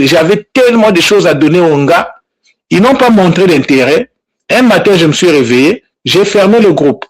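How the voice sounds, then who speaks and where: A man's voice: high voice, low-quality recording. Insistent man lecturing his followers. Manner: insistent, admonishing, with frequent repetitions. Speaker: language teacher addressing his online group. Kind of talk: informal spoken address to followers.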